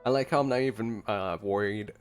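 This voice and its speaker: A man's voice: lowish voice, brisk. A man giving casual gameplay reaction commentary as a streamer, with game music behind him. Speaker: gaming streamer.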